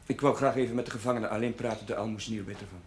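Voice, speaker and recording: A man's voice: fairly low pitch, fairly even intonation, racing, natural voice. An actor as an earnest male chaplain, faint background noise. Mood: gentle, serious. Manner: grave, admonishing. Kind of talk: television drama acting.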